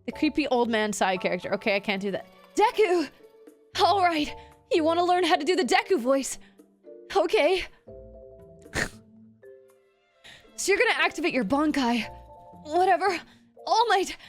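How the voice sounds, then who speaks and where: High-voiced chatty woman streamer. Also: expressive, normal speed, pauses often, soft background music. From Canada. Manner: chatty, giggly, swears casually. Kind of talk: casual livestream voice lesson.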